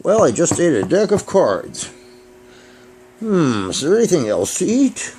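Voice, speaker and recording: A man voicing a greedy, gleeful garbage can in a children's puppet show, speaking slowly and repetitively. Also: fairly high pitch, big pitch swings, warm tone. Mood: greedy, savoring. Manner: slow, repetitive, savoring delivery.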